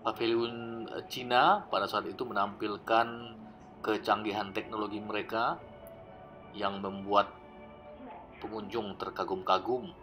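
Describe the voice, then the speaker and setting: A man narrating his old travel footage in a relaxed, explanatory voice-over, often pausing with fillers. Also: fairly low pitch. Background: background music.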